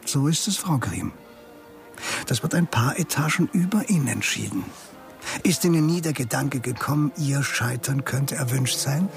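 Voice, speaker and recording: A man's voice: medium pitch, expressive, normal speed, creaky, faint music behind. A man playing a smug, condescending middle-aged district politician in a German radio crime drama, patronizing and sardonic. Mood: needling. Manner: patronizing, sardonic, chuckling asides. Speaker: actor playing a district administrator.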